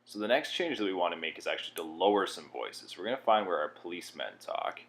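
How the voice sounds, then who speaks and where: A man with a low voice walks through software steps as a tutorial presenter. His delivery is plain-spoken and instructional, explaining each step as he goes. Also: sing-song, fast talker, vocal fry.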